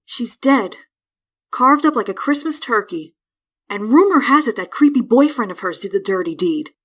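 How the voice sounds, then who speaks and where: A woman plays a foul-mouthed young princess in a comic dub. Her voice is high and animated, with sarcastic, cutting delivery. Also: moderate pace, slightly rough. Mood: gossipy. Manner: sarcastic, profane. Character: sharp-tongued, foul-mouthed young princess learning to fence.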